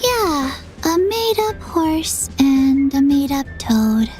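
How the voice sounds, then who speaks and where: A woman voicing a dreamy young girl who speaks slowly. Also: high-pitched, sing-song, darkish tone, full character voice. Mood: wondering. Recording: game audio with faint music.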